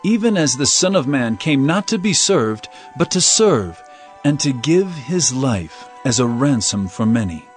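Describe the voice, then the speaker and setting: A man's voice: mid-range voice, very animated, unhurried, faint music behind. A man playing an earnest, authoritative teacher in a dramatized scripture reading, speaking expressively. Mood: solemn teaching.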